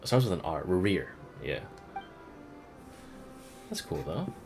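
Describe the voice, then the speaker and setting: Casting: male game streamer, lowish voice, highly varied intonation, casual reactive commentary, music behind. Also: measured pace. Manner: animated reactions, casual swearing.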